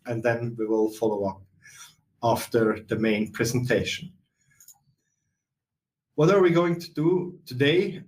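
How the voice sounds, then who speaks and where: A middle-aged man with a Swiss accent, presenting a software webinar in a steady explanatory way with some fillers. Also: medium pitch. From Switzerland. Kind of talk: webinar walkthrough.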